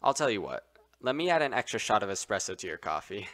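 Man playing young monster barista, cartoon, lowish voice, casual, laid-back. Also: big pitch swings, fast, a bit bright. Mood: concerned, helpful. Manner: casual, easygoing. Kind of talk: animated web series voice acting.

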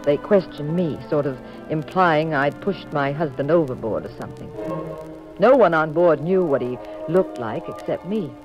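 An actress playing a witty, affectionate detective's wife in a mid-century radio mystery, speaking with wry poise. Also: female voice, deep voice, lively intonation, normal speed, muffled recording. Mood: wry narration. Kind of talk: mid-century radio drama acting and narration. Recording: old radio recording with music.

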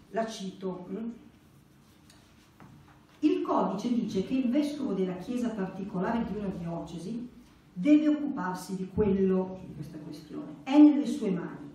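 A woman's voice: medium pitch, mellow. A middle-aged woman giving an explanatory religious lecture, conversational and emphatic with wry asides, partly reading from notes. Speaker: conference speaker. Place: indoor church meeting room. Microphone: stand microphone. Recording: very echoey room, distant, faint hiss.

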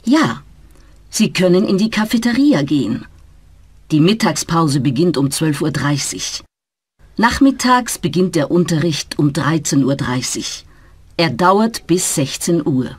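A woman voicing a friendly German language teacher in a scripted learner dialogue, speaking clearly and carefully. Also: sits low, lively intonation, measured pace, no echo, heavily acted. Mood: welcoming.

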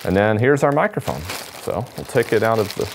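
A man's voice: on the low side, animated, brisk, vocal fry, noisy background. A man, giving a casual unboxing demonstration as a product presenter. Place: indoor studio at a table.

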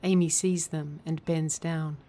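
A woman reading scripted narration and stage directions in a measured, deliberate manner. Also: sits low, steady tone, mellow.

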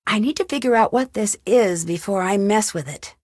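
A woman's voice: mid-range voice, normal speed, a little mellow, dry recording, clean studio sound, close to an everyday voice. An actress playing a curious, upbeat young woman detective in an adventure game, thinking aloud in short scripted remarks. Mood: thoughtful.

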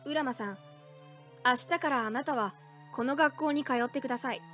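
A woman's voice: sits high, normal speed, fairly bright tone, faint music behind. A woman voicing a composed, mysterious girl in a voice drama. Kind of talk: voice drama acting.

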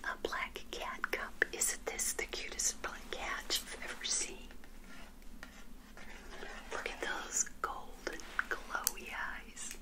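An older woman whispering a gentle, hospitable ASMR roleplay as a friendly witch, softly repeating her phrases. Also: slow, low-quality recording.

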